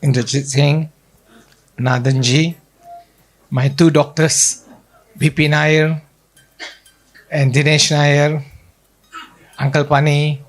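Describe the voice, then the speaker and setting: A man from Singapore giving a slow, halting thank-you speech on receiving an award. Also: fairly high pitch, little pitch movement. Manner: slow, halting and plainly worded.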